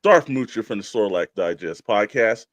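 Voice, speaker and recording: A man's voice: lowish voice, animated, normal speed, no room sound. A man co-hosting a casual live show, hyping up guests in a folksy, effusive way and repeating phrases for emphasis. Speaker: podcast co-host.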